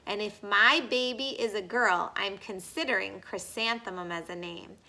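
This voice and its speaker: A woman reading a storybook as a kindly, proud music teacher, gentle and deliberate. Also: medium pitch, rough recording. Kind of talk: storybook character voice.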